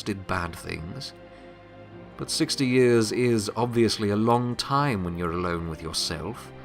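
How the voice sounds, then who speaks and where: A man acts out a pony who has become Death, weary and wry in an audio drama. His voice sits low and he confides haltingly, full of reflection and remorse. Music plays clearly behind him. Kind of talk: dramatic audio fiction monologue.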